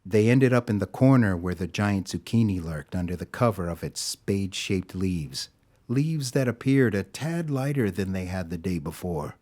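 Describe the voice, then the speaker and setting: A man narrating a scripted story in a dramatic, deliberate storytelling manner. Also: low voice, lively intonation, mellow.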